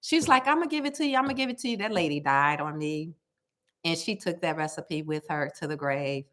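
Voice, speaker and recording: A woman with a loud voice that carries, talking casually to her viewers. Her voice has a bright, forceful edge over a fairly low pitch. She tells stories with animated emphasis and repeats words over and over to drive her point home. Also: fairly quick. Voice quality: loud, carrying voice. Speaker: cooking vlogger.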